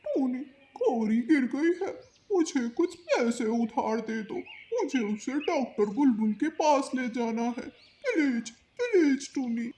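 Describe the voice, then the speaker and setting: A woman's voice: sits high, very animated, slow pace, dark tone, heavily acted. A woman voicing a lazy, grumbling crow husband in a cartoon, with a petulant drawl. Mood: urgent pleading. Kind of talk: Hindi cartoon voice acting.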